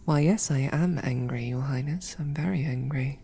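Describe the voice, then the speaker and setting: A woman playing a composed, sarcastic female royal knight who speaks formally and often softly, close to a whisper, in an audio roleplay. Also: extremely low pitch, normal speed, gravelly. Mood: hurt, pleading.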